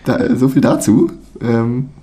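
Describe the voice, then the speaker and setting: A thirty-year-old man from Thuringia in Germany, chatting casually as a podcast co-host, halting and dryly joking. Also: mid-range voice, huge pitch swings, warm tone. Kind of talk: casual chat between two co-hosts.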